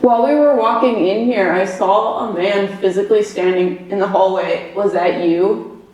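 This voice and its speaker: A woman's voice: mid-range voice, normal speed, a little mellow, slight background noise. Young woman, medium on a ghost hunt, giggly rambling chatter, recorded in a roomy basement. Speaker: medium, paranormal investigator. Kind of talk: casual chat on a ghost hunt. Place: old basement room with echo. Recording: echoey basement room.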